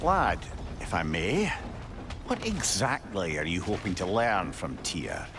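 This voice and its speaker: An actor playing a witty, learned older advisor with a Scottish accent in a video game, wry and eloquent. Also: male voice, low voice, sing-song, slow, heavy background noise, exaggerated voice. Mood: wry, chatty.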